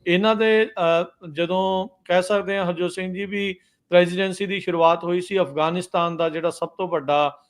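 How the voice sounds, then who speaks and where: A man hosting radio news, giving conversational commentary in a halting way and mixing in English words. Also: high-pitched, fairly even intonation.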